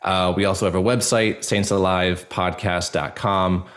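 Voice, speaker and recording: Man, very low pitch, interview guest, informal, halting, enthusiastic, conversational. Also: fairly even intonation, fairly bright tone. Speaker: podcast guest, actor and audio drama producer. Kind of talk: casual interview chat.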